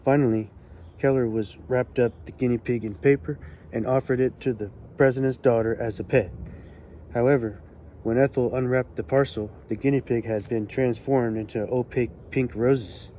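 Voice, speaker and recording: Calm man reading a book aloud. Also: mid-range voice, normal speed, slight background noise. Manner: calm, relaxed delivery meant to soothe. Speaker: YouTube host reading magic history. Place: California.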